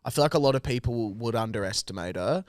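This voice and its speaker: An Australian man hosts a podcast with blunt, crude banter and frequent swearing. His voice sits at a middle pitch and is recorded close and dry. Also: lively intonation, fast, no echo. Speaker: podcast host.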